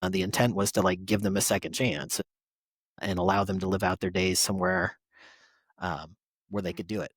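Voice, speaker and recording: A man's voice: low voice, steady tone, moderate pace, breathy edge, no echo. A man chatting casually and enthusiastically as a podcast panelist. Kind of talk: casual chat.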